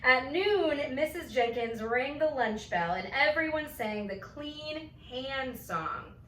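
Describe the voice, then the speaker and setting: A woman with a fairly high voice reads a picture-book story aloud for children in an expressive style. She sounds a little way from the microphone in an echoey room, with faint noise behind her. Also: slow. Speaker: storybook reader. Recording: roomy, distant mic, faint noise.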